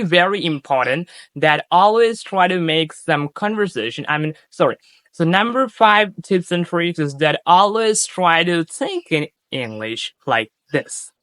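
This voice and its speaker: Earnest young Bangladeshi-accented man, Bengali native, coaching English in a vlog; fairly high voice, dry close sound, restarts and repeats himself. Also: fairly bright tone, no echo. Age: young adult. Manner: earnest, repetitive, often restarting and correcting himself. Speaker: English-speaking coach, channel host. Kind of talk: casual tips vlog.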